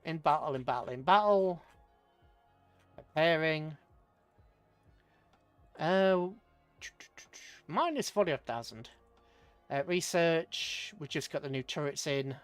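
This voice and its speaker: A man's voice: on the high side, expressive, takes it slowly, pauses often, smooth, no echo, soft background music. A British man casually commentating a strategy game on a livestream, chatting with viewers and muttering rambling asides to himself as he plays.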